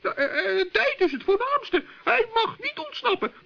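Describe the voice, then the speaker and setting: Plucky little gnome: squeaky, creaky, swooping, excitable; muffled old radio play. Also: male voice, moderate pace, full character voice. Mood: determined, pondering. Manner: excitable, stammering, repeats himself. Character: little forest gnome, plucky, bossy and earnest. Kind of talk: 1960s children's radio play.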